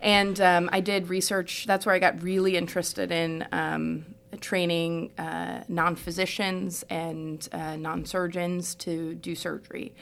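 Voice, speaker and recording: Creaky-voiced American woman, lowish pitch. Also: level tone, unhurried, few pauses, fairly bright tone. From Houston, United States. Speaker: podcast guest, trauma surgeon. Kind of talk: conversational personal narrative in an interview.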